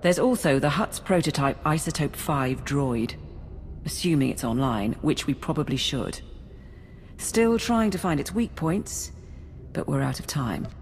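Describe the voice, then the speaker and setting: An actor playing a deferential Imperial operations officer in a video game, giving a brisk, orderly, businesslike briefing. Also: mid-range voice, lively intonation, long reverb tail, slight background noise.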